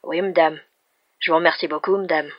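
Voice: medium pitch, steady tone, a little fast, close to an everyday voice. A storybook narrator voicing a guarded, stubborn injured man with a reluctant, dryly teasing manner. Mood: mock-polite, flirtatious. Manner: reluctant, grumbling, dry. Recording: dull, muffled lo-fi recording.

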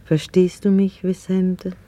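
A woman's voice: fairly low pitch, level tone, slow pace, dark tone. An actress playing a secretive, lovelorn lady in a radio play, speaking in a hesitant, insistent, confiding way. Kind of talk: German radio play acting.

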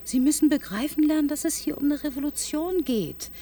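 A woman's voice: high voice, brisk, warm tone, a little hiss or hum. An actress playing a proud, impatient female scientist in a radio drama, with a brusque, emphatic delivery. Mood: defensive, impatient. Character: proud, impatient female scientist defending her visionary technology.

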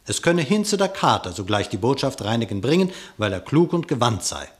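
A man narrating a verse fable for a radio play, expressive and measured in his declamation. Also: medium pitch.

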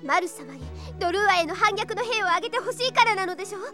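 A high, youthful princess speaks with earnest, impassioned conviction. She is a strong-willed young knight in an anime audio drama, with music playing behind her. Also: expressive, fast talker. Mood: indignant.

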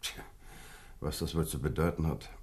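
A deep-voiced, grave senior official. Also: male voice, steady tone, darkish tone. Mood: grave, pensive. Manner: measured, authoritative, pensive. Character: grave senior British official addressing his aide. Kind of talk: German radio play acting.

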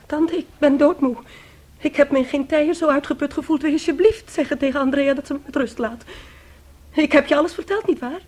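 An actress in a Dutch radio play playing a young bride-to-be who is weary, defiant and spiteful, with a needling manner. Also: female voice, sits high, fairly quick, a little mellow, natural voice. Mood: weary, irritated. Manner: evasive, needling, boastful.

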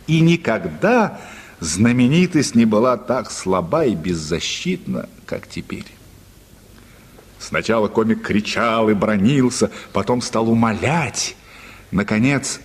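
A man's voice: on the low side, a little mellow, husky. A man narrating a literary radio play as a dramatic storyteller, with long reverb around his voice.

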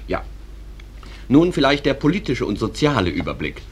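A man's voice: mid-range voice, very animated, slightly rough. A male actor playing a genial, courteous stage manager who presents a small town in a German radio play and politely moves speakers along. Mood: polite, hurried. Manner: polite, briskly cuts speakers short. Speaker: narrator and master of ceremonies.

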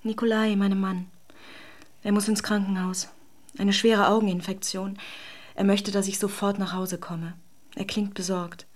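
A woman's voice: mid-range voice, level tone, measured pace, close to an everyday voice. An actress playing an emotional, effusive married Russian woman in a German radio drama. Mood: subdued, resigned. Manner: theatrical, emotionally volatile, effusive.

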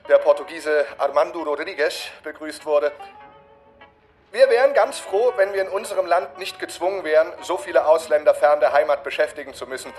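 A male actor playing a speech-maker in a radio drama, giving a measured, rhetorical address with a pointed, ironic edge. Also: fairly high pitch, natural voice.

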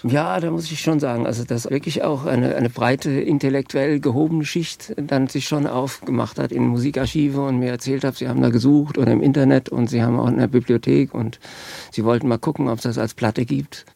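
A composer talks with enthusiasm in a relaxed interview, a gravelly creak in his voice. The recording is dry and close, with no room sound. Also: medium pitch, fairly quick, no echo. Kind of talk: casual interview talk.

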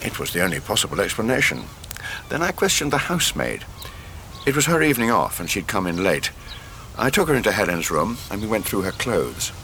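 Radio drama: regretful English doctor, low forceful voice, precise and formal, slightly noisy recording. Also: male voice, big pitch swings, full character voice. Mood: grave recounting. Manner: measured, formal, explanatory.

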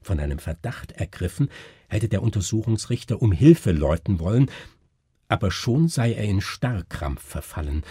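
A man reading a gothic story as scripted narration, with a husky voice and a grave, theatrical manner. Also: lowish voice, sing-song, moderate pace, warm tone, dry recording, clean studio sound. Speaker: reader of a literary story.

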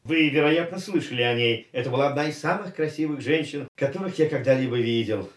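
An actor playing a talkative older casino gentleman in a radio play, telling a story in a lively way. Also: mid-range voice, fast, close to an everyday voice. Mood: anecdotal. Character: chatty older male casino gentleman, reminiscing. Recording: roomy, distant radio play recording with faint music.

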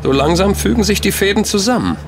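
A man playing an excitable, indignant member of an investigative team in a German audio drama, speaking emphatically. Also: sits high, fast, over music. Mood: astonished.

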